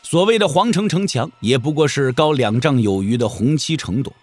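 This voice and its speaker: A male storyteller narrates a martial-arts tale with dramatic, widely varied intonation. His voice is husky and rough-edged, with soft music playing behind it. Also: mid-range voice, lively intonation, moderate pace, no echo.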